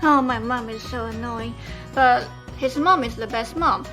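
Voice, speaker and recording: Girl with a high voice, teasing, music in the background. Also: unhurried, pushed and bright. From Taiwan. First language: Mandarin Chinese. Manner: teasing, switches freely between English and Mandarin. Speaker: vlog co-host. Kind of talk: casual couple chat.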